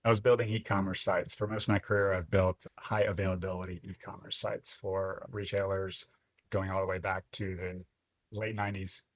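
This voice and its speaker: A man in his fifties, originally from Lebanon, telling his story as a podcast guest in a reflective, unhurried way. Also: deep voice, flat delivery, no echo. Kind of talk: casual interview chat.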